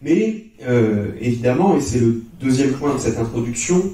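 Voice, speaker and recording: A man's voice: medium pitch, expressive, moderate pace, warm tone. A man in his thirties giving a public lecture as a researcher, speaking into a microphone in a hall. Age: 30s. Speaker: lecturer, social science researcher. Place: conference hall, on stage. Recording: roomy, slightly distant hall sound.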